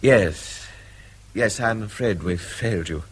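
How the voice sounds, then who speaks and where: An actor playing an awkward, agitated, apologetic Englishman in a radio drama, with a rough, sharp voice. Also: lowish voice, takes it slowly. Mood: awkward, hurried.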